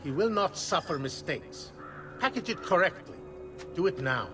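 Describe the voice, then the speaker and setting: An actor playing a stern, obedient guard captain in a video game, speaking in a clipped, formal, commanding way. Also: male voice, high-pitched, lively intonation, normal speed. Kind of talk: video game cutscene acting. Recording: music behind the voice.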